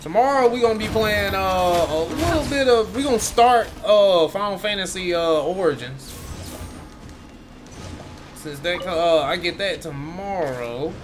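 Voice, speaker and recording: A man's voice: high-pitched, lively intonation, a little slow. A man giving casual, slangy, swearing commentary while he streams a video game. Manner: slangy, profane muttering at the game. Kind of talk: casual let's-play commentary. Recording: game music behind the voice. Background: video game music.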